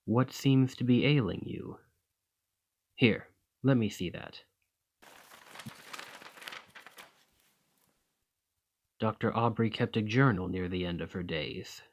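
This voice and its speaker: A man playing a desperate, weary immortal gentleman vampire in an ASMR roleplay, speaking formally and courteously in a breathy, husky voice that often drops to a whisper. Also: on the low side. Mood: focused. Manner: formal, courtly, old-fashioned phrasing.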